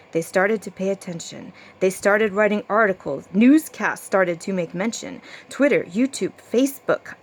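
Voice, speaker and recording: A woman reading her own rhyming verse aloud like a storybook tale, with a rhythmic, theatrical storytelling delivery. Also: medium pitch.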